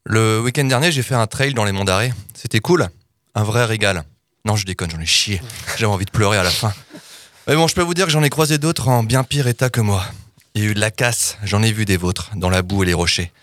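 A man's voice: on the low side, expressive, a little fast, a bit bright, no echo, clean studio sound. A man in his thirties delivering a scripted humorous column for a podcast in a playful, informal, mock-dramatic way. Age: 30s.

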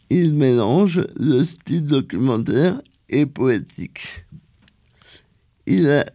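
A man reading a cultural events column on local radio, slow and careful, with some hesitations. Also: on the high side, mellow.

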